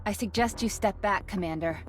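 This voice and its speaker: A woman's voice: medium pitch, a little fast, soft background music, lightly acted. A woman voicing a composed, protective female military bodyguard in a video game, formal and concise. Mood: composed, watchful.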